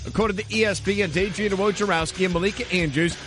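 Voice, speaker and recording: A man anchoring a radio sports newscast with a brisk, crisp read. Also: sits high, fairly even intonation, a bit bright, soft background music.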